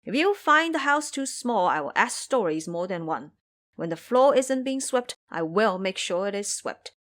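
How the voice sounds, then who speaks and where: A woman's voice: medium pitch, big pitch swings, normal speed, fairly bright tone. A woman voicing a boastful, lazy, lustful male pig monster in an audio drama, pleading and bragging as he talks.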